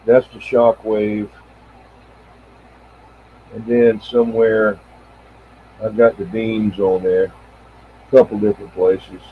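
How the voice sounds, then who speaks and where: An American man in his seventies chatting casually on CB radio in a halting, rambling, reminiscing way. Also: fairly low pitch, little pitch movement. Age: 70s. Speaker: CB radio operator and live streamer. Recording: tinny band-limited radio audio with faint noise.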